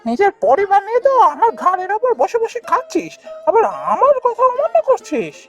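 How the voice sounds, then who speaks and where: A woman's voice: very high voice, big pitch swings, brisk, faint music behind. A woman voicing a harsh, domineering, miserly elder brother in a cartoon fairy tale, scolding and sneering in repeated outbursts. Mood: furious, mocking.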